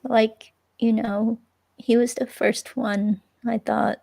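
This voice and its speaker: Forlorn young ex-girlfriend, played by a woman, police interview reading, hesitant, trailing off, slightly high, creaky. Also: flat delivery, takes it slowly, mellow, no echo, heavily acted. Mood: forlorn, wistful. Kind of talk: dramatic reading of an interview transcript.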